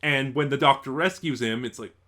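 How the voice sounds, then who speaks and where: A man's voice: mid-range voice, brisk, sharp tone. A man giving a casual, unscripted review as an online reviewer, rambling and thinking aloud in a stop-and-start way.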